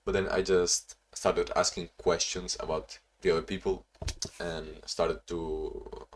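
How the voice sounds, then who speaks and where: A young man talking in a casual, reflective diary-style vlog, halting with frequent restarts and sounding a bit sleepy. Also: low-pitched, level tone, slight background noise. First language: not English; Portuguese not first language either. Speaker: vlogger.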